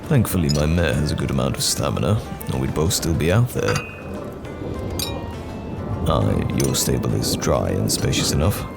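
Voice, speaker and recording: A man playing a courteous, guarded, wry wandering knight in a fantasy audio roleplay, speaking in measured, old-fashioned phrases. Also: a bass voice, sing-song, vocal fry, exaggerated voice. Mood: relaxed, appreciative. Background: music.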